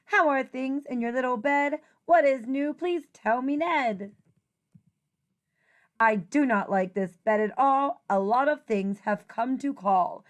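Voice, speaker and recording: A woman teacher reading a children's rhyming picture book aloud in an expressive, deliberate, singsong way. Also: high-pitched.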